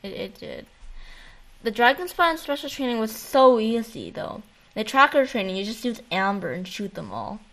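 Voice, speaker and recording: A woman with a fairly high voice co-hosts a casual chat about video games. Her talk is informal and slangy, full of friendly back-and-forth banter. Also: sing-song, normal speed, a bit bright. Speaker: podcast co-host.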